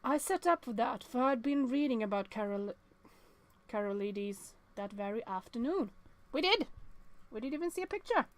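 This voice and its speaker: A woman hosting a let's-play of a visual novel, reading the text aloud with expressive, exclamatory reactions and the odd stumble. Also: on the high side, normal speed.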